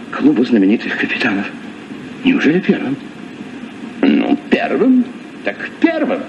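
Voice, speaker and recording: An actor playing a boastful, pompous comic hunter with self-important delivery in a vintage radio play. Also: male voice, sits high, heavily acted. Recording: muffled, noisy old radio, distant mic.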